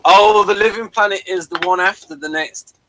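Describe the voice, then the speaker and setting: A man's voice: high-pitched, normal speed, sharp tone, a little hiss or hum, rough recording. A man hosting a casual review discussion of a TV series, emphatic and given to repeating his words.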